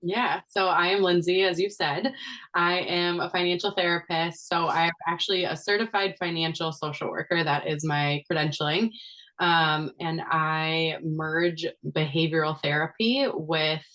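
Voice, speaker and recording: Low-voiced woman guest explaining her work. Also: flat delivery, unhurried, a bit bright, rough recording. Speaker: podcast guest, financial therapist. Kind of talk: interview conversation.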